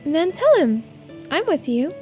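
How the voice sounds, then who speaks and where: A woman's voice: high-pitched, very animated, a little slow, darkish tone, voice pushed up. A woman voicing an earnest, self-deprecating bookish youngster in a video game, apologetic and eager to help. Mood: thoughtful, supportive. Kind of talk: video game character voice-over. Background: music.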